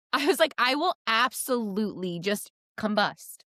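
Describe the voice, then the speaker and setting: A woman's voice: a little high, lively intonation, a little slow, sharp tone, dry recording, studio quality. A woman hosting a casual podcast chat, talking in a chatty, emphatic way and often saying "like". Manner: chatty, emphatic, peppers talk with "like". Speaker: podcast host.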